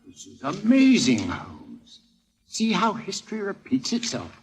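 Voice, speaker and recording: An actor playing an eager, excitable man who loves antiquities, with hesitant theatrical interjections, in a 1940s radio drama on a vintage recording. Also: high voice, measured pace, full character voice. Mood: amazed.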